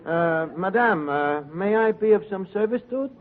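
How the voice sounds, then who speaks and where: Casting: wisecracking, uneducated barkeep, high-pitched and lively, vintage narrow-band radio comedy. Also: male voice, normal speed. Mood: mock-polite, flustered. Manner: mangled grammar, mock-polite, brash. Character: scheming, wisecracking tavern manager, brash and uneducated. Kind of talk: 1940s radio comedy acting.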